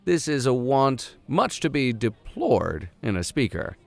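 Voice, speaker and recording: A man reading classic literature aloud in an expressive, theatrical and playful way. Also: mid-range voice, a little slow, creaky, dry recording. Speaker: audiobook reader. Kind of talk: expressive narration of classic literature with casual asides.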